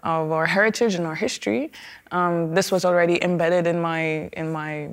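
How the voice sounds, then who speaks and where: A woman from Trinidad and Tobago with a Trinidadian accent, a guest speaking thoughtfully in an interview and often pausing with fillers and restarts. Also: fairly low pitch, fairly even intonation. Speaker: opera singer, guest. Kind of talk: conversational interview.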